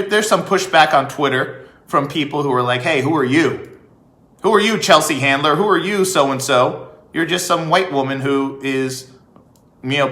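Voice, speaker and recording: A man giving an emphatic opinion monologue as a vlog host, with rhetorical questions and deliberate pauses. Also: on the high side, sharp tone. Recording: echoey room.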